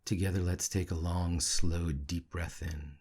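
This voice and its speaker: A man leading a guided meditation in a hushed, soothing, gravelly and husky voice, leaving long pauses between short phrases. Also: deep voice, steady tone. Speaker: meditation teacher.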